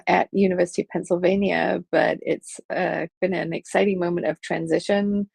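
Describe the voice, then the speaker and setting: A woman in her fifties, from Liverpool, answering interview questions thoughtfully with frequent pauses and fillers over a video call. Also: on the low side, vocal fry, darkish tone, husky, no echo. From England, Liverpool. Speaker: film studies professor, guest.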